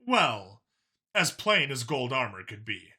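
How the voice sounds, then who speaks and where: A man's voice: mid-range voice, very animated, slow, bright, forceful tone, slightly rough. A man reading scripted fiction as an audiobook narrator.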